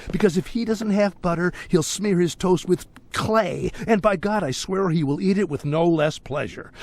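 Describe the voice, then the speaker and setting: A talkative, menacing small-town crime boss in a video game. His voice sits fairly high and swings widely in pitch. He rambles theatrically, with jovial, folksy sarcasm. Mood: exasperated, mocking. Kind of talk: video game cutscene acting.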